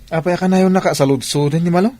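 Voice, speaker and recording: A man's voice: high-pitched, fairly even intonation, fast, warm tone, dry recording, lightly acted. A man playing a blunt, bantering godfather in a Filipino radio drama. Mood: bantering, excitable. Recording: radio drama with music underneath.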